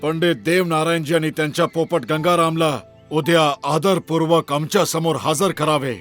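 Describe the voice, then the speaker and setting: A man's voice: a little high, fairly even intonation, normal speed, a bit bright, faint music behind, natural voice. A man voicing a regal eagle king, a worried father who speaks in a stately, formal way in a children's cartoon. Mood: commanding. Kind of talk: animated children's story dialogue.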